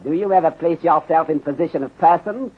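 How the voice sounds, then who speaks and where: Polite shrewd detective, formal clipped grammar, slightly high husky voice, crackly narrow 30s radio recording. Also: male voice. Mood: courteous, deliberate. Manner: formal, measured, polite, drops articles. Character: courteous, shrewd Chinese detective from Honolulu. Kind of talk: 1930s radio drama acting. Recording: muffled, tinny 1930s radio recording.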